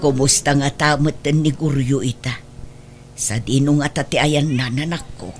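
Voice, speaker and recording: A woman's voice: rumbling low, steady tone, measured pace, over music. A woman with an Ilocano accent acting a worried older mother of grown daughters in an audio drama, speaking with motherly concern. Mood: pensive.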